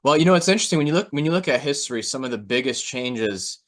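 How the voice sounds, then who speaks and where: A man with a medium-pitched voice hosts a casual discussion as an analyst. He talks in an agreeable, conversational way. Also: lively intonation, fast talker, fairly bright tone. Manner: agreeable, frequent short affirmations. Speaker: podcast host and market analyst.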